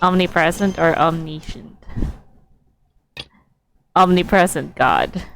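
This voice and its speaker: Low-pitched, unhurried woman streaming a game. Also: creaky, fairly bright tone, slight background noise, rough recording. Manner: musing, talking to self. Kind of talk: casual game commentary, reading dialogue aloud.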